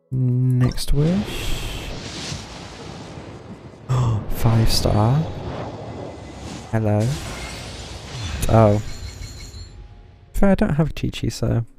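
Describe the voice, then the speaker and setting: A man giving casual, low-key live commentary on a game, half talking to himself as he plays. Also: creaky, mellow. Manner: low-key, muttering to self while playing. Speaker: game streamer. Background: game music.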